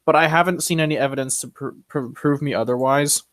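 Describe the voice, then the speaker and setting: A young man chatting casually, voicing firm opinions in quick bursts with frequent restarts. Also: a little high, a bit bright, dry recording, studio quality. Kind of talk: casual chat.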